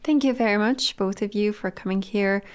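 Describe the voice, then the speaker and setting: A woman's voice: mid-range voice, smooth. Formal woman radio host. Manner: measured, formal, pauses to find words. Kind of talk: hosting a radio discussion.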